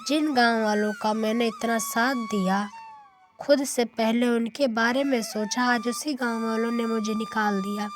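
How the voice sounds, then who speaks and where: A woman's voice: a little high, steady tone, unhurried, faint music behind, lightly acted. A woman voicing a wronged, bitter young village man seeking justice in a cartoon tale. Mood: hurt, bitter.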